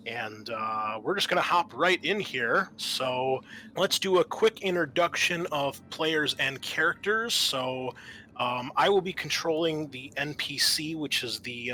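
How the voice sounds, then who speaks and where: A man's voice: mid-range voice, measured pace, sharp tone, a little hiss or hum, poor audio. A man speaking as host and game master, narrating and explaining a tabletop roleplaying game.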